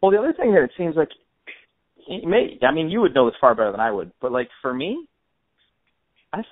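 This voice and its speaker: A man's voice: fairly high pitch, big pitch swings, brisk, no echo. A man hosting an interview by phone in a casual, slangy, conversational way. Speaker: interview host. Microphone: telephone. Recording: tinny, band-limited phone line.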